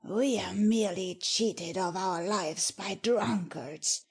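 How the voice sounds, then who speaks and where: Woman playing husky, spiteful nobleman. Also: medium pitch, slow, full character voice. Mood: contemptuous, angry. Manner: contemptuous, biting. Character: haughty, spiteful nobleman cursing sailors. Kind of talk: dramatic audiobook acting.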